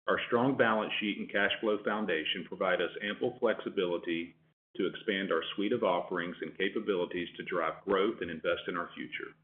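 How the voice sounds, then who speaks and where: Low-pitched male business leader, phone-line sound. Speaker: company executive on an earnings call. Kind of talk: formal earnings call remarks and answers. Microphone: telephone conference line. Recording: narrow phone-line conference call audio.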